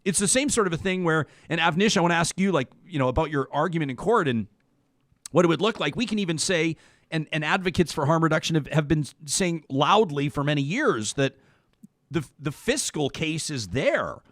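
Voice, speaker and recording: Man, talk show host, higher pitch, dry close sound, conversational, halting, repeated words. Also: lively intonation, fairly bright tone, no echo. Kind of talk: conversational interview.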